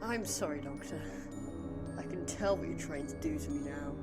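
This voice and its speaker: A woman's voice: mid-range voice, sing-song, moderate pace, vocal fry, loud music behind the voice. A woman playing a hurt, defiant young companion in an audio drama, confronting someone with bitter, emotional accusations. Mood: cold, wounded.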